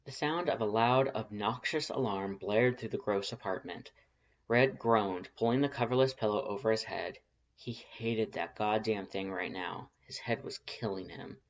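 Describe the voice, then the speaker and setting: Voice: mid-range voice, moderate pace, lo-fi sound. A narrator reading fan fiction aloud as scripted storytelling.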